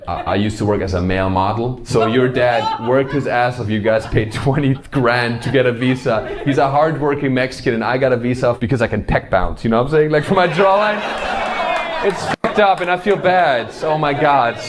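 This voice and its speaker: A man's voice: mid-range voice, fairly quick. A man with a German accent doing brash, joking crowd work as a stand-up comedian on a club stage. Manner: loud, joking, brash, swearing. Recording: crowd noise from a live comedy show. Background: audience laughter.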